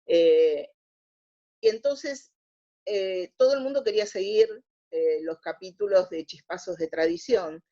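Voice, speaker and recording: Argentine woman, interview guest, reflective anecdotal talker with a halting flow, mid-pitched, lo-fi dull recording. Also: warm tone. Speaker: interview guest, radio theatre historian. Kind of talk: conversational interview, anecdotal storytelling. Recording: dull lo-fi audio.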